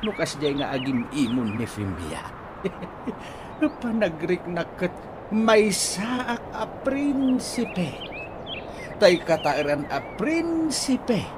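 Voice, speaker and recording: A man's voice: high voice, very animated, extremely slow, exaggerated voice. A man with an Ilocano accent playing a boastful, doting older father in a radio comedy drama, with exaggerated, teasing delivery. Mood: smug, gleeful. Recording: noisy track with chirping birds.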